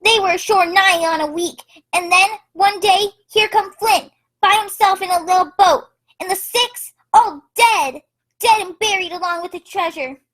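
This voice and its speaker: A woman playing an excitable, half-mad marooned with a rambling, folksy way of talking. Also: very high voice, moderate pace, rough recording. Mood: excitable, wary. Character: Ben Gunn, excitable half-mad marooned old pirate castaway.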